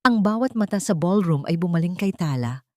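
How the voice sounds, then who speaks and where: A woman's voice: fairly low pitch, expressive, fairly quick, warm tone, no echo. A woman reading a scripted story as a solemn, melodramatic audiobook narrator.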